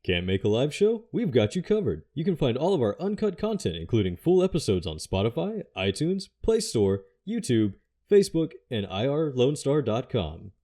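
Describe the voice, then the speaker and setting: Male promo voice, mellow tone, very lively intonation, scripted radio spot. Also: mid-range voice, normal speed. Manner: upbeat announcer delivery. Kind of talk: scripted station promo read.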